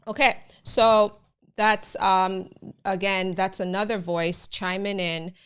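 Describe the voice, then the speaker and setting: A woman's voice: mid-range voice, little pitch movement, slow, fairly bright tone, clear, resonant tone. A woman with a Jamaican accent, hosting a live immigration news channel and talking casually to her viewers, often calling them guys. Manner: chatty, addresses viewers as guys, many fillers.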